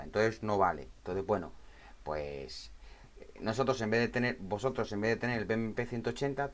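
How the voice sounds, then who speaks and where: A man with an accent from Spain giving an informal, step-by-step technical explanation as an instructor, repeating phrases and often checking in with short tags. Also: on the low side. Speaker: tutorial instructor.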